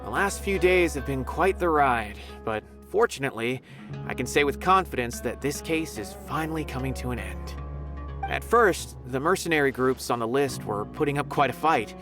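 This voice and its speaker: A courteous scholarly official in a game, speaking at an ordinary middle pitch, as a man voices him. He explains events in a calm, orderly way while game music plays behind. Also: normal speed, natural voice. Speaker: academy official. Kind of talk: game dialogue acting.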